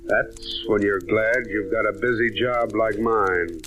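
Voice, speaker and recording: An actor playing a world-weary, wry, heavyset detective narrating a 1940s radio noir. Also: male voice, fairly low pitch, fairly even intonation, slow pace, fairly bright tone, soft background music. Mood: rueful.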